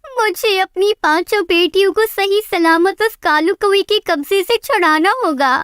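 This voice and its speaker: A woman voicing an anxious, earnest and gentle mother bird in a children's cartoon story, with a pleading manner. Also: very high-pitched, measured pace, no echo, clean studio sound. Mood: worried, pleading.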